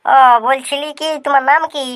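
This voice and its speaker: A man's voice: extremely high pitch, level tone, fairly bright tone. A man voicing a pushy village phone-company caller with a Purulia Bengali accent, talking in brash, rustic speech. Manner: brash, quick rustic talk with swearing.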